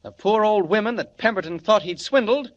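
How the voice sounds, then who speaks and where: A man's voice: high-pitched, animated, a little fast. A man acting a mild, eager newspaper reporter in a 1940s radio serial. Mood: excited. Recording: dull old 1940s radio recording.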